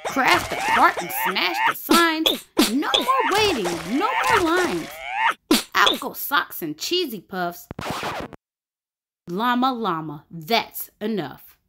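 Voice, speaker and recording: A woman reading a rhyming picture book aloud for children in an expressive, sing-song way. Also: high voice, takes it slowly, long pauses, very noisy. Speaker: children's story reader. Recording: music bed under the voice. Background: background music.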